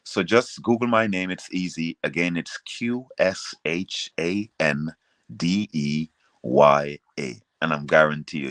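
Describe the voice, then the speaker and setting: A man's voice: rumbling low, fairly bright tone, dry recording. A man with a Jamaican accent chatting casually as a singer being interviewed and promoting himself.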